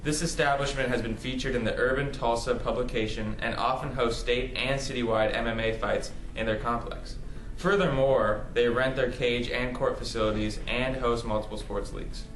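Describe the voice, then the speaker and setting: A man's voice: mid-range voice, steady tone, normal speed, few pauses, fairly bright tone. A young man delivering a formal, scripted student presentation. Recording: roomy echo, faint music.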